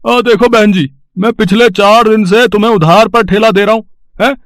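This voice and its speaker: High-pitched stern cart-rental man voiced by a man. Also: steady tone, normal speed, no room sound, studio quality, close to an everyday voice. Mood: stern, warning. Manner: blunt, warning. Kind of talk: cartoon story acting.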